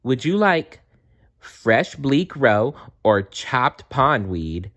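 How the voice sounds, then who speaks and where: A man's voice: medium pitch, big pitch swings, very slow, fairly bright tone, voice pushed up, exaggerated voice. A man voicing a cheerful, polite female frog who serves at a restaurant in a storybook. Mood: cheerful, inviting. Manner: chirpy, solicitous, polite.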